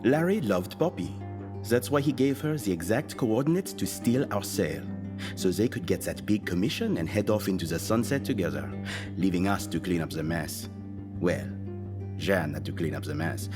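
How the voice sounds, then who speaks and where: Guarded, wry salesman, lowish and animated. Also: moderate pace. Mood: bitter, wry. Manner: pointed, wry, insinuating. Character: smooth-talking, guarded male salesman, bitter and wry. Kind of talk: scripted audio drama acting. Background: music.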